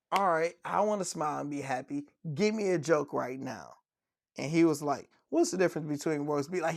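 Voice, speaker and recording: A man with a gravelly, fry-edged voice cracks dad jokes on a casual sports podcast, chuckling at his own punchlines. His voice is recorded close and dry, with no room around it. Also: a little high, dry recording. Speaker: podcast co-host.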